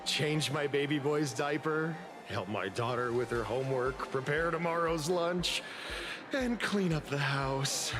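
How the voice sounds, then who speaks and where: Slow, high-pitched weary father. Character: weary working single father insisting he is happy. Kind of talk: video game voice acting.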